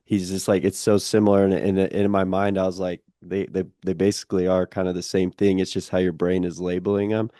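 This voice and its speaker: A young man hosting a relaxed interview, his voice deep and notably level, self-described as monotone. The tone is mellow and dark. It sits dry and close to the mic, with many casual fillers. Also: fairly quick, hardly any pauses, no echo. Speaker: podcast host.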